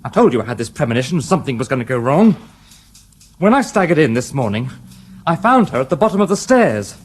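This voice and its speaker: A man's voice: medium pitch, very animated, fast, slightly rough. An actor playing a sardonic British police inspector in a radio crime drama, dry and mock-polite in his questioning. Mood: harried. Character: sardonic male detective inspector, dogged and wry.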